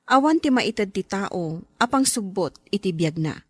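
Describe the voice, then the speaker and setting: A woman announcing and narrating a scripted radio program in a measured, formal manner. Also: medium pitch, animated, breathy edge, no echo.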